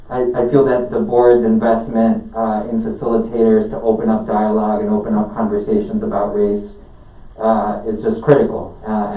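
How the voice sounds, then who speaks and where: A man in his thirties from Peru speaks haltingly at a board meeting, padding his words with fillers and restarting often. His voice is mellow and dark. It comes from a distance in an echoey meeting room. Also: on the low side. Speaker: parent speaking at public comment. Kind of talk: public comment at a school board meeting. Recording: echoey room, picked up from afar.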